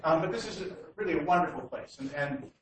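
A man's voice: fairly high pitch, expressive, fast talker, distant mic. A Canadian man giving a public lecture without slides, talking hesitantly with many fillers and restarts. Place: large hall. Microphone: distant room mic. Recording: very echoey hall, heard from afar, faint noise.

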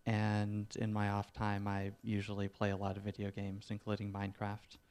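A man from Canada gives a measured, slightly hesitant self-introduction. His voice is low, smooth and flat in pitch. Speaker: new graduate student.

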